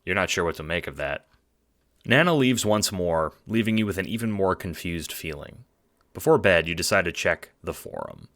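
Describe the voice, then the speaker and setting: A man's voice: low voice, animated, moderate pace, fairly bright tone. A man narrating a scripted audio drama in the second person, as a storyteller. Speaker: narrator and lead actor.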